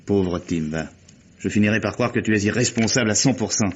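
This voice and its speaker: An actor playing a curt, controlled, contemptuous office employee from in a vintage radio mystery. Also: male voice, on the low side, a little fast, slight background noise, close to an everyday voice. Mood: weary contempt. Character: cold, accusing male office employee, wearily contemptuous. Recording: dull, lo-fi old radio recording.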